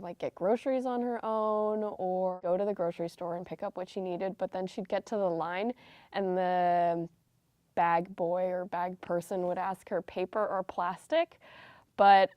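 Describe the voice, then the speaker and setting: A young woman who is a college student, answering interview questions in a soft, thoughtful, casual way. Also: on the low side, no room sound. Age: young adult.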